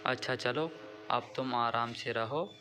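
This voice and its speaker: A man's voice: medium pitch, takes it slowly, pushed and bright. A man playing a kind, humble village farmer in a cartoon story, speaking modestly and in a friendly way. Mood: kindly. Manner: modest, kindly.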